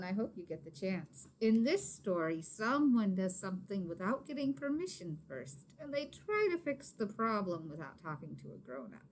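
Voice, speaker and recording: A woman reading a children's picture book aloud expressively, pausing to explain and put questions to young listeners. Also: mid-range voice, a little hiss or hum, rough recording. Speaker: read-aloud storyteller, teacher.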